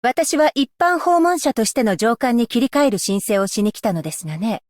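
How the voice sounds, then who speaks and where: Woman voicing a dutiful magistrate-scholar for a game: formal, politely stern, high-ish voice, dry studio sound. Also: animated, a little fast, no room sound, close to an everyday voice. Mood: composed. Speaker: voice actress. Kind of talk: game character voice lines. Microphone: close studio mic, dry.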